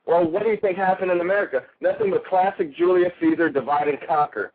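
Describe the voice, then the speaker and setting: Male caller with an Italian accent, crude and heated, tripping over words; high-pitched and phone-thin. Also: fairly even intonation, fast talker. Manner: ranting, crude and heated, with stumbles. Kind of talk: call-in radio rant. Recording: tinny phone line.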